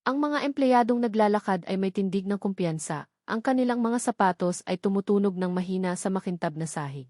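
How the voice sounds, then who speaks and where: A woman's voice: mid-range voice, expressive, brisk, a little mellow, no echo, clean studio sound. A woman reading scripted dramatic story narration.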